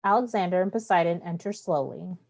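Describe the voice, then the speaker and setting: Lowish-voiced woman reading stage directions. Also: lively intonation, fairly quick, creaky, darkish tone. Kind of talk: scripted narration of stage directions.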